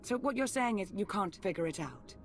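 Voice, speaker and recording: A woman playing a cool, calculating intelligence chief in a video game cutscene, measured, clipped and dry. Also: mid-range voice, lively intonation, faint music behind, close to an everyday voice. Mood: dry, businesslike.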